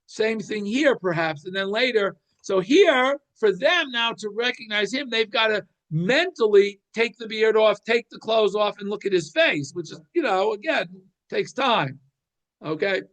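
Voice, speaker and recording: A man giving an informal religious lesson, explaining scripture like an animated storyteller who thinks aloud and repeats phrases. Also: sits high, a little slow. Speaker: Torah teacher.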